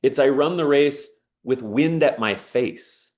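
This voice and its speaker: A man's voice: medium pitch, slow, darkish tone. A man giving an earnest, emphatic inspirational talk and telling a personal story. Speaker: speaker on sauna and resilience.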